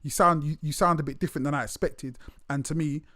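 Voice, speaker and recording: A man in his late thirties chats with a guest. He talks with loose energy, repeats and restarts his words, and his voice sits slightly high. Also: quick pace, crowd noise. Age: late 30s. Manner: animated, repeats words, lots of slang fillers. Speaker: interviewer. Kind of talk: casual interview chat.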